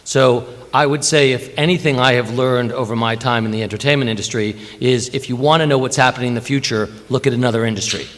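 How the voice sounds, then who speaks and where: A man's voice: medium pitch, steady tone, quick pace, hardly any pauses, a bit bright. A man speaking as a panelist at an industry conference, making firm, opinionated points. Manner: assertive, opinionated. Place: conference hall. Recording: reverberant conference hall.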